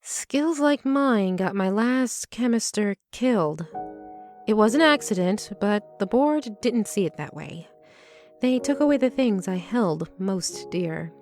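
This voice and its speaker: A woman's voice: medium pitch, animated, takes it slowly, a little mellow, no room sound, faint music behind. An actress playing a shrewd, determined female lab assistant in a fantasy audio drama, assertive, persuasive and businesslike. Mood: clipped, bitter. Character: shrewd, determined woman lab assistant, street-smart schemer.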